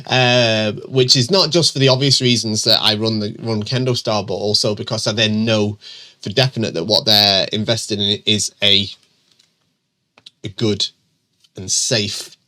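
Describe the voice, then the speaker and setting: A man's voice: lowish voice, pushed and bright. A middle-aged man hosting a show and answering viewer questions in casual chat, his voice stuffy and bunged up from a cold, with lots of ums and restarts. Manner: chatty, many ums and restarts, reads questions aloud.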